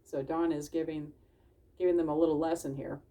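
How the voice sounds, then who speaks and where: A woman's voice: low voice, little pitch movement, a little fast, dark tone, far from the mic. A woman reading a children's story aloud, explaining it simply and putting questions to the listeners. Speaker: library storytime reader. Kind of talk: animated children's storybook read-aloud with asides.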